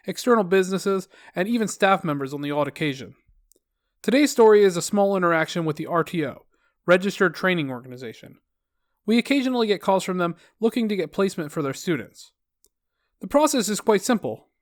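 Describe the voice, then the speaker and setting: A man's voice: high voice, no echo, studio quality. A man reading online posts aloud, adding wry, sarcastic comments between them.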